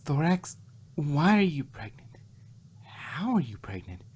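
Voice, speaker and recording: Man playing a female cartoon pony, breathy, slow, timid, stammering, puzzled. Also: a little high, sing-song, darkish tone, full character voice. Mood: questioning, concerned. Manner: hesitant, stammering.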